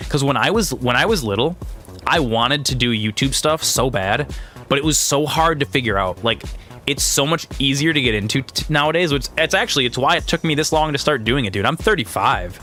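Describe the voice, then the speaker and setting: A man's voice: mid-range voice, lively intonation, fairly quick, sharp tone, faint music behind. A man in his thirties giving casual, rambling gameplay commentary in slangy, exclaiming speech. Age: 30s. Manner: rambling, slangy, often says "dude". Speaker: gaming video creator and streamer.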